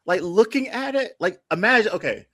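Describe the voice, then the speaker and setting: A man's voice: high voice, expressive, fairly quick, bright, forceful tone, no echo, clean studio sound. A man talking casually as a podcast panelist, thinking aloud in a conversational way. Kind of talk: casual pop-culture discussion.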